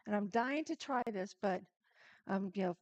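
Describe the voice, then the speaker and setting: A woman's voice: medium pitch, normal speed, dry recording, dull audio. A woman hosting a casual live-stream cooking demo, chatting straight to her viewers and laughing easily.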